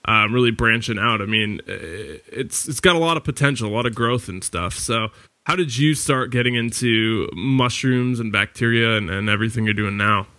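A man's voice: fairly low pitch, moderate pace, pushed and bright, no echo. A man hosting a podcast interview in a casual, easygoing way, with plenty of fillers. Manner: easygoing, loose, many fillers. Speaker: podcast host. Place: lives in the US Pacific Northwest.

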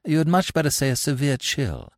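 A man playing a witty, idle young gentleman who speaks in a wry, languid manner. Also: on the high side, big pitch swings, a little fast, no echo, studio quality, pitched above the performer's own voice.

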